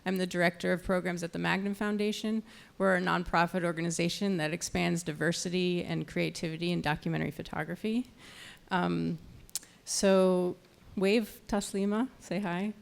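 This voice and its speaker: Female event host, lowish pitch with heavy vocal fry, semi-scripted introductions, occasionally tripping over words. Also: fairly even intonation. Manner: halting, stumbles over words. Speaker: nonprofit programs director introducing panel speakers.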